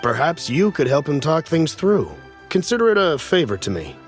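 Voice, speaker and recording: A man playing a gentle, hospitable old man in a video game, polite, kindly and measured in his speech. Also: fairly high pitch, big pitch swings, soft background music. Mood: kindly, amused.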